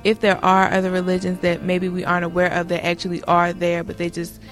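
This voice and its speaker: A woman's voice: on the low side, monotone, brisk, faint music behind. A teenage girl from North Carolina, speaking earnestly and hopefully. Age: teens. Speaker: camper.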